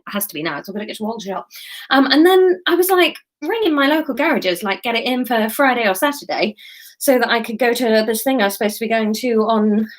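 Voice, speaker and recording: A woman's voice: fairly high pitch, expressive, fast talker. A woman from the UK chatting casually on a livestream, rambling and joking about herself, laughing often, then reading her own story aloud.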